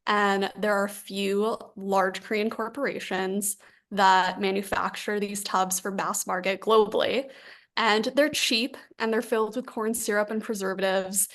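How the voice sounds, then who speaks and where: A young adult woman from New Jersey, a company founder talking casually in an interview, chatty and animated, often quoting her own past thoughts. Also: mid-range voice, measured pace. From New Jersey, USA. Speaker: founder and CEO, guest. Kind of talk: conversational interview.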